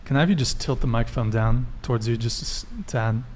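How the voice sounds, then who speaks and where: A man hosts a casual panel talk show in an ordinary mid-pitched voice. He moves through short questions and often repeats answers back as he follows up. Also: steady tone, fast, darkish tone, a little hiss or hum.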